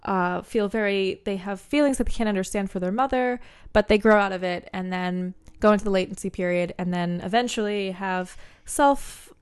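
A woman guest in a casual chat, explaining psychology with many pauses as she searches for words. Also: medium pitch, smooth.

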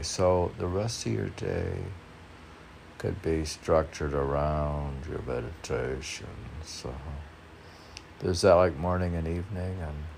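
A man reading his poems and commenting off the cuff in a rambling, halting way, asking himself questions. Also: sits low, gravelly, a little mellow, a little hiss or hum. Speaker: poet and podcaster reading own work.

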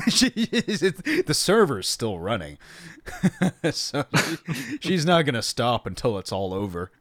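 A man's voice: medium pitch, very animated, slow pace, dry recording, studio quality. A man hosting a casual podcast interview, rambling with frequent restarts and laughter. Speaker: podcast host.

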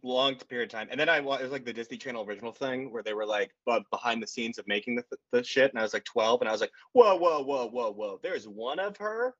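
A thirty-year-old man with a husky voice trades crude, self-mocking jokes as a podcast co-host. His humor stays dry, and his pitch sits in the middle range. The audio sounds dull and lo-fi. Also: fast talker, few pauses, a bit bright. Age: 30s. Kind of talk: casual banter.

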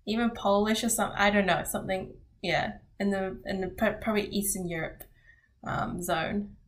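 A woman's voice: mid-range voice, fairly even intonation, normal speed. A young woman who grew up speaking Cantonese at home, chatting casually in a hesitant way, with many ums and frequent giggles. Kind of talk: casual chat.

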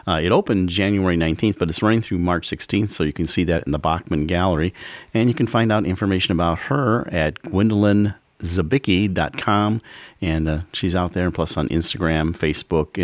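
A male co-host of a public radio arts show, chatty and relaxed, with many filler words. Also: sits low, no room sound.

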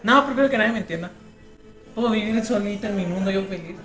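A woman's voice: mid-range voice, quick pace, fairly bright tone, faint music behind. Playful Guatemalan woman in a roomy space. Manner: playful, freely sweary. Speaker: podcast participant playing a question game. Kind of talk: casual chat among friends.

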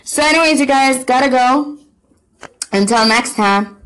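A woman's voice: high-pitched, a little slow, fairly bright tone. A woman vlogger chatting casually and self-deprecatingly to her viewers, full of fillers. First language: not Korean. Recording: echoey room.

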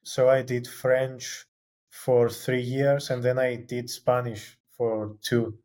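Slow, flat-voiced Greek-native man. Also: mid-range voice. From Cyprus. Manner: hesitant, many "uh" fillers and restarts. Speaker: guest, film graduate. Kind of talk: casual interview answers.

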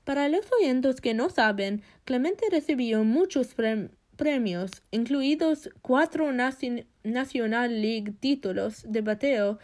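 A woman acts as interviewer in a scripted conversation. Her voice is fairly high. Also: a little mellow.

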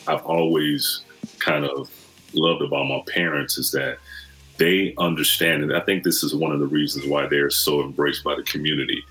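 A man's voice: rumbling low, fairly even intonation, normal speed, a bit bright, breathy edge, faint music behind, rough recording. A man in his forties from Michigan, a podcast guest telling his story in an earnest, reflective way. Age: 40s. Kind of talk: casual conversation.

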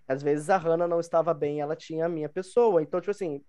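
A man's voice: a little high, steady tone, fast talker, dark tone, clear, resonant tone. A young man with a Brazilian Portuguese accent telling personal stories casually as a podcast guest, emphatically repeating his phrases. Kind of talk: casual conversational storytelling.

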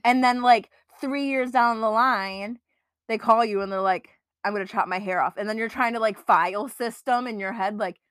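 A woman's voice: a little high, moderate pace, fairly bright tone, no room sound, clean studio sound. A young woman with an Upper Midwest accent, co-hosting a casual podcast chat in a scattered, giggly, rambling way. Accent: Upper Midwest American. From Upper Peninsula of Michigan. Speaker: podcast co-host.

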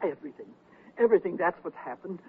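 A woman's voice: on the low side, expressive, fast, slight background noise, lightly acted. A woman playing a frazzled, fed-up wife in a radio comedy, theatrically exasperated and emphatic. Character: frazzled, fed-up wife at her wits' end. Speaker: radio actor. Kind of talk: 1970s radio comedy acting. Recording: thin narrow-band 1979 radio recording.

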